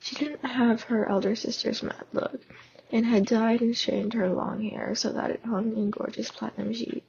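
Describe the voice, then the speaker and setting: A woman with a sore, hoarse throat reading fanfiction aloud in a casual way, stumbling and restarting now and then. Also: on the high side, steady tone, moderate pace, darkish tone, dull audio, slight background noise. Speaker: fan audiobook reader.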